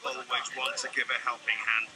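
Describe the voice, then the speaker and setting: A man's voice: medium pitch, level tone, brisk, background noise. A man doing cheeky, wisecracking voice-over commentary on game show footage, mock-serious and joking. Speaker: comedy commentator.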